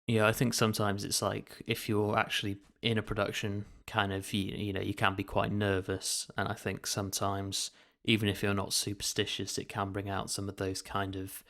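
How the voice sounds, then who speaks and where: A man's voice: fairly low pitch, completely flat delivery, moderate pace, hardly any pauses, a bit bright. A man co-hosting a casual podcast, explaining things in a hedging, conversational way. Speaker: podcast co-host.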